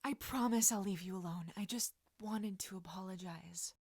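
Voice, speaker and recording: A woman's voice: mid-range voice, normal speed, dry recording, clean studio sound, close to an everyday voice. A woman voicing a brash, lovestruck teenage wizard boy in an audiobook-style story, flustered and frantic. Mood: desperate, apologetic. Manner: shouting, stammering, frantic.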